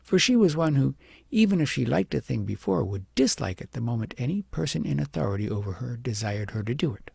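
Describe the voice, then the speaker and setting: Very gravelly, husky male storyteller. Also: mid-range voice, big pitch swings, brisk, darkish tone, no echo. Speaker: audiobook narrator. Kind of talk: expressive storybook narration.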